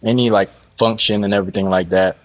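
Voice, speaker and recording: Deep-voiced easygoing DJ from Haiti. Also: male voice, steady tone, fast. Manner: easygoing, brief answers, says "man" and "you know" often. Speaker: guest DJ. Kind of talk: casual interview chat.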